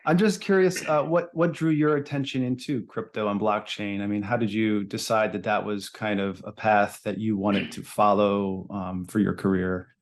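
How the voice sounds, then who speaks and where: A man hosting a casual interview podcast, eager but halting, often repeating words as he forms a question. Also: fairly low pitch, steady tone. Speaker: podcast host.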